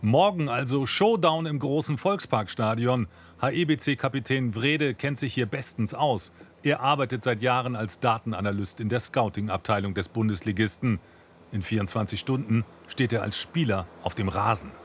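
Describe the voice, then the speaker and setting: A man's voice: medium pitch, moderate pace, slightly rough. A man narrating a scripted television news report.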